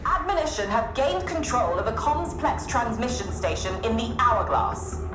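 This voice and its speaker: A woman plays a military officer delivering orders in a video game. Her voice is fairly high and lively in pitch, authoritative and urgent, with echo and loud music. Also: moderate pace, close to an everyday voice. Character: earnest female military officer briefing a mission. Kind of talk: video game mission briefing.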